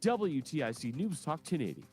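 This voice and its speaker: A man with a stuffed-up nose giving a brisk, clipped radio traffic report. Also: on the high side, big pitch swings, loud music behind the voice.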